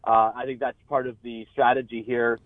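A man's voice: medium pitch, flat delivery, no room sound. Man on a muffled, band-limited call-in line. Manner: halting, repeats words, frequent "you know". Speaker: sports journalist, radio guest. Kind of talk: sports analysis in a live radio interview. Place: remote call into a radio show.

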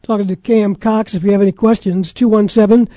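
A man's voice: high voice, fairly even intonation, fairly quick, warm tone, dry recording. An older man hosting a sports talk radio show in a conversational style.

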